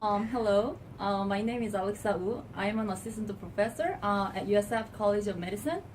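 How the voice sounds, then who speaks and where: A woman's voice: medium pitch, fairly quick, darkish tone, a little hiss or hum. Korean-accented woman, professor, lots of ums, a bit far from the mic. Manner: hesitant, many ums. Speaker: assistant professor. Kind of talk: interview answer. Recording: voice from a distance.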